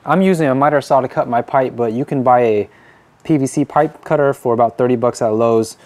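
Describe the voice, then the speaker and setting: A man narrates a hands-on DIY tutorial in a dark, relaxed voice. His delivery is plain-spoken and instructional, like a host guiding viewers through a build step by step. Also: mid-range voice, brisk. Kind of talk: scripted how-to narration.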